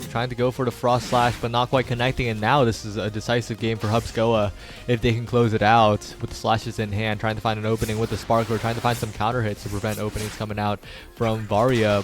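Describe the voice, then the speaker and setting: A man with a fairly low voice provides live play-by-play for a video game tournament. He follows the action in long, flowing sentences. Music plays faintly underneath. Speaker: esports commentator.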